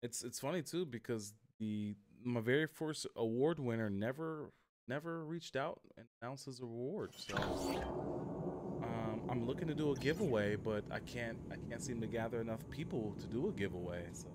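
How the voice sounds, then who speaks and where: A man's voice: mid-range voice, lively intonation, moderate pace, heavy background noise. A man chatting informally and in a rambling way to viewers as he streams a game. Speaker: live streamer. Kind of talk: casual gaming livestream chat.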